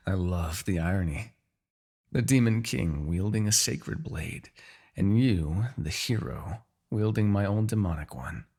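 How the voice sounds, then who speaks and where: A man acting a menacing, husky demon king. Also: deep voice, animated, slow, darkish tone, full character voice. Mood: pained, gloating. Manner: menacing, theatrical, sardonic. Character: proud, bitter, centuries-old male demon king. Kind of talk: fantasy ASMR audio roleplay acting.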